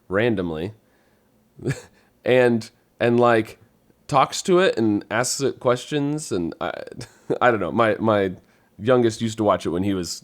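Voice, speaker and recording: A man in casual podcast conversation, easygoing and thinking out loud as a panelist. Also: on the low side.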